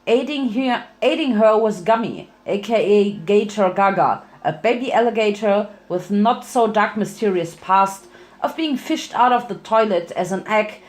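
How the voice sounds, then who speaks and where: Playful woman narrating a story, medium pitch. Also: animated, unhurried. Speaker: fan fiction reader.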